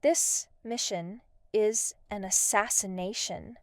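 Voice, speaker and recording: A woman's voice: mid-range voice, slow pace, no room sound, clean studio sound, natural voice. A woman playing a confident, cheeky spy in an audio drama, matter-of-fact and playful. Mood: businesslike.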